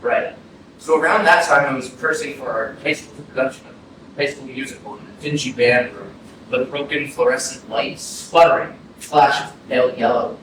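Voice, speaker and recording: A man's voice: medium pitch, steady tone, normal speed, lightly acted. A man acting in a staged reading as a grieving young man, telling his story in a reflective dramatic monologue. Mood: mournful, intense. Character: grieving young man recounting a friend's death. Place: arts center stage, distant mic. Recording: very echoey hall, distant, clear noise.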